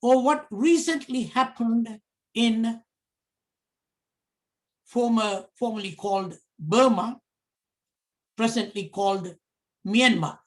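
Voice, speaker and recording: A middle-aged man from Sri Lanka with a Sri Lankan accent, giving a prepared public talk in slow, deliberate phrases. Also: extremely high pitch, rough recording. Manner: slow, deliberate, measured phrasing in short pieces. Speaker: commentator.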